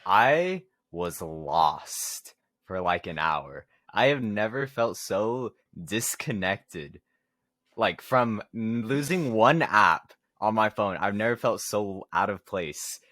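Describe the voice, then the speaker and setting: A man's voice: on the low side, lively intonation, slow pace, a bit bright, no room sound. A young man co-hosting a podcast, chatting casually about himself in slangy, self-mocking gamer talk. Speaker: podcast co-host.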